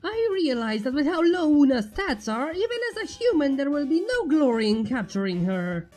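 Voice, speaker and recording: A woman voicing a pompous, excitable male skeleton in a story reading, proclaiming everything emphatically. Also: high voice, normal speed, soft background music, pitched above the performer's own voice. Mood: earnest complaint.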